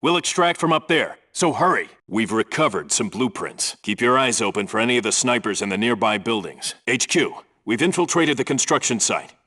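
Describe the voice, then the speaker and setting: An actor voicing a no-nonsense Navy SEAL team leader in a military video game, giving clipped, businesslike, authoritative reports and squad orders. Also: male voice, mid-range voice, very animated, moderate pace, few pauses, sharp tone. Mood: firm, commanding.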